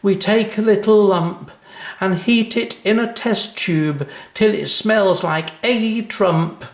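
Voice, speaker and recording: A man's voice: high voice, slow, roomy sound, voice pushed up. A man voicing a cheeky, eager little schoolgirl in a children's story, with sing-song, emphatic delivery. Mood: proud, reciting.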